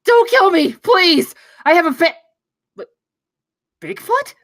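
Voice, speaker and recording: A woman's voice: very high-pitched, animated, slow, fairly bright tone, no echo, clean studio sound, full character voice. A woman playing a frightened, defensive gamer dad who pleads and protests in exasperation at a comedy table read. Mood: scared, pleading.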